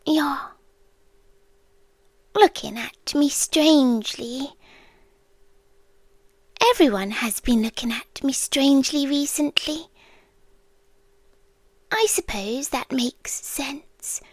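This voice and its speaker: A British woman playing an ancient, calm, doting apocalyptic girlfriend in a soft, partly whispered ASMR roleplay, speaking slowly and deliberately. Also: high-pitched, no room sound. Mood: soothing, eerily calm. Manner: slow, hushed, deliberate delivery.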